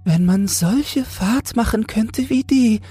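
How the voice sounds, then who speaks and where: A man's voice: sits high, takes it slowly, warm tone, husky, dry recording, soft background music, pitched above the performer's own voice, exaggerated voice. A man voicing a small, naive female toad full of yearning and wonder in a fairy tale, earnest and exclaiming. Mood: eager wonder. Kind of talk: fairy tale audiobook character voice.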